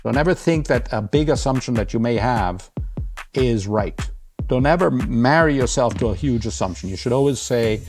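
A man's voice: mid-range voice, lively intonation, normal speed, over music. A man who grew up across South America and Europe, talking casually and a little hesitantly as an interview guest. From grew up across South America and Europe, born in Brazil. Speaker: interview guest, head of quantitative investing. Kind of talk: casual conversational storytelling.

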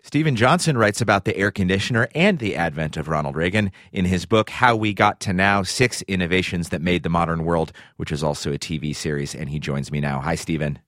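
An American man hosts a radio interview in a deep voice. He presents in clear broadcast phrasing, and the sound is dry, with no room around it. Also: brisk, few pauses, a bit bright, no room sound. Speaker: radio show host and interviewer.

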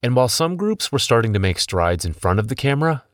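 A man's voice: medium pitch, big pitch swings, fast talker, no echo, studio quality. A man in his thirties, a podcast host telling a personal story in scripted documentary narration.

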